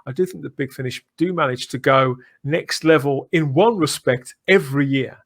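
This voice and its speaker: A man's voice: mid-range voice, normal speed. A British man hosting a casual fan podcast, chatty and often repeating phrases as he builds a point. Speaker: podcast host.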